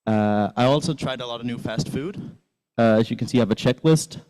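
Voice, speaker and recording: A man's voice: mid-range voice, fairly quick, darkish tone, rough recording. A teenage man from Sweden giving a casual, rambling talk about his exchange year, full of fillers and restarts. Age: teens. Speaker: exchange student presenter. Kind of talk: casual presentation with slides.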